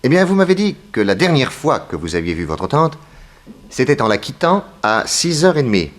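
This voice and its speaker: Commanding male detective, very animated delivery, acted by a man. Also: mid-range voice, natural voice. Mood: accusing, commanding. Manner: theatrical, commanding, deliberate accusatory delivery. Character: shrewd, authoritative male detective confronting suspects. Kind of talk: 1960s French radio mystery acting.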